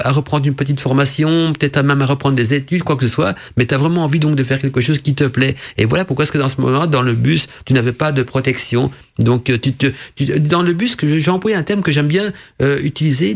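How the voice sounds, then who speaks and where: Rambling gravelly-voiced male radio host. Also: fairly high pitch. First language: not French. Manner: rambling, many fillers and restarts. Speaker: radio show host reading listener messages. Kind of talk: casual radio chat interpreting dreams.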